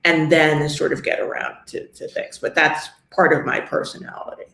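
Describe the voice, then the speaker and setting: Middle-aged New York woman, gravelly and fairly high, understated and explanatory; interview guest in an echoey room. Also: flat delivery, normal speed, a bit bright. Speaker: guest, luxury real estate agent. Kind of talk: interview conversation.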